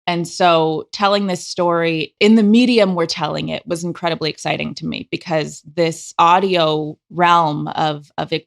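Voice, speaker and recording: A woman's voice: low voice, vocal fry. A woman speaking as a podcast guest, giving conversational answers about her creative work. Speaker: podcast guest, audio drama director.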